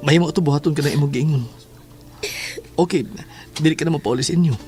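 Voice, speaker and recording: A man's voice: a little high, steady tone, fast, a little mellow, soft background music, natural voice. A man playing an earnest, troubled young lover in an audio drama. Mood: earnest, worried. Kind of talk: Filipino audio drama dialogue.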